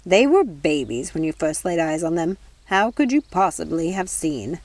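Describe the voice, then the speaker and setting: A woman's voice: lowish voice, big pitch swings, moderate pace, a little mellow, no room sound, voice pushed down. Woman playing a stern, sensible old fairy nanny. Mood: exasperated. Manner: firm, businesslike, exasperated.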